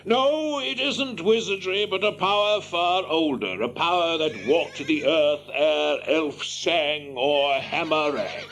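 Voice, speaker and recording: An actor playing a wise, cryptic old wizard in a radio fantasy drama, theatrical and deliberate. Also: male voice, fairly high pitch, a bit bright, a little hiss or hum. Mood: musing, mysterious.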